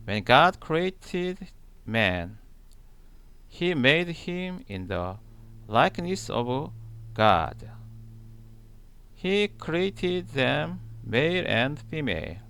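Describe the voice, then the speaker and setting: A man reads scripture aloud extremely slowly, breaking it into short phrases with long pauses. His voice sits a little high, with a lively rise and fall in pitch. The delivery is halting and deliberate. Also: smooth. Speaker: Bible reader, channel host. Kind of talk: slow read-aloud of scripture.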